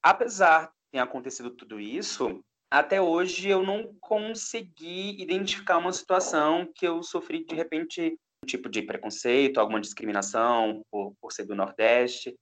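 A man's voice: a little high, moderate pace, fairly bright tone. Reflective man. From Pernambuco, Brazil. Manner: reflective, with many restarts and fillers. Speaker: guest. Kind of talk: casual interview chat.

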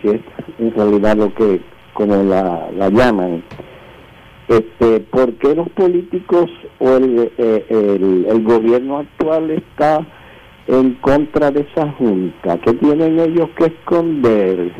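A man's voice: medium pitch, lively intonation, slow. A man putting a question to a radio panel over dull, lo-fi call audio. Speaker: radio show caller.

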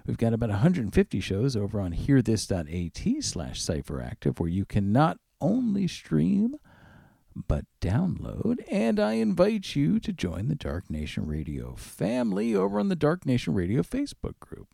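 Male music radio host, relaxed dark tone with some fry, casual and chatty announcing. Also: mid-range voice, normal speed, no room sound, clean studio sound. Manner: conversational, informal.

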